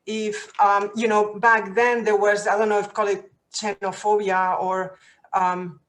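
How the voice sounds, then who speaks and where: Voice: mid-range voice, level tone, measured pace, a bit bright, low-quality recording. Tentative voice asking a long question. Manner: tentative, searching for words. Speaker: audience questioner.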